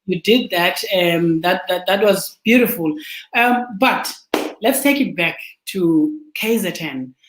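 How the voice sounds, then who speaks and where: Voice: medium pitch, animated, slow pace. An interview host asking questions in a casual way, often stumbling and repeating words. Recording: echoey room.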